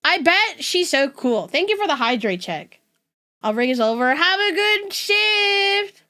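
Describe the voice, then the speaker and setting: A woman's voice: very high-pitched, very animated, unhurried, bright, forceful tone. A young woman with a neutral West Coast American accent, chatting casually and laughing often as a streamer talking to her chat. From United States West Coast. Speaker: VTuber streamer.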